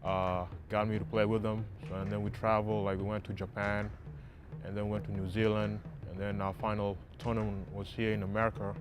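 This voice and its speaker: A young man from South Sudan, a college basketball player, answering interview questions haltingly with many fillers, on a lapel mic in a dark empty arena. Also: on the low side, flat delivery, over music. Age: young adult.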